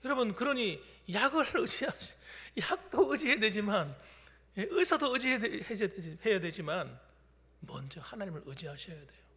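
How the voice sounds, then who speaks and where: A male pastor in his fifties preaching a midweek sermon, shifting between loud declarations and hushed whispers. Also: high-pitched, big pitch swings, normal speed. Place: church sanctuary during a midweek service. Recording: long hall reverb from a church.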